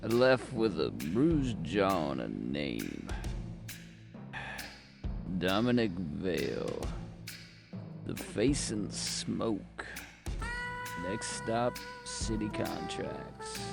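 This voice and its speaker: A man playing a brooding, world-weary private detective, narrating a noir audio drama in terse, deadpan, wry lines with a gravelly voice. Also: deep voice, very slow, pauses often, over music, full character voice.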